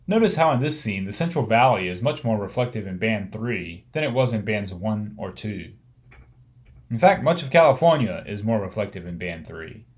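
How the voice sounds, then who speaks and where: A man narrating an instructional slide lecture, explaining things step by step in measured, clearly organised phrases. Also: lowish voice, lively intonation.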